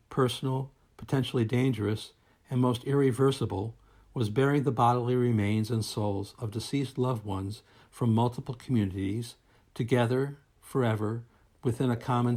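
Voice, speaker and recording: A man reading a scripted academic presentation aloud in a formal, measured and deliberate manner. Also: mid-range voice, a little mellow. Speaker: academic researcher presenting a paper.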